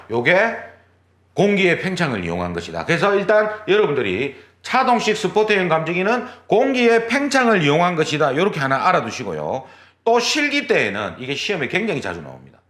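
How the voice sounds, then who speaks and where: A man teaching a certification exam class, his pitch rising and falling widely as he walks through each step. He repeats key terms, points at his drawing, and checks that students follow. The room is echoey, and his voice sits slightly high. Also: a bit bright. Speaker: exam prep instructor. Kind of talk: lecture, drawing on a board.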